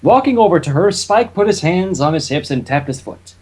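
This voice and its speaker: A story reader with a husky, mid-pitched voice. The narration is lively and varied, loosening into casual chat with friends between passages. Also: fairly quick. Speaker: fan fiction reader.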